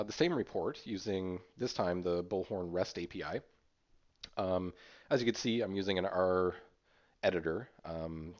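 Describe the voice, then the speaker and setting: A man presenting an informal screen-share software tutorial in a hesitant, explanatory way. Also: deep voice. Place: voice-over recorded over screen capture. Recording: dull, muffled lo-fi audio.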